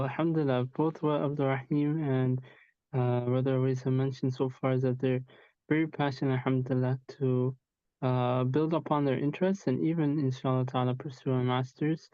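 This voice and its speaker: A man hosting an online town hall on a video call, measured and speaking with frequent religious phrases over dull lo-fi call audio. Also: medium pitch, warm tone, clear, resonant tone. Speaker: host and founder.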